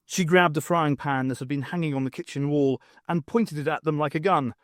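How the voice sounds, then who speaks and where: A man reading fiction as an audiobook narrator, in an expressive scripted storytelling style. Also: a little high, fairly quick, dry recording, studio quality.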